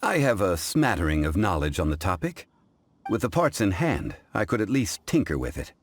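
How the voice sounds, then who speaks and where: A man playing a composed, scholarly gentleman in a video game, speaking formally and courteously in measured phrases. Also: deep voice, big pitch swings, slight background noise. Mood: thoughtful. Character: composed, scholarly, dignified gentleman of ancient wisdom. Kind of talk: English game voice acting. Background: background music.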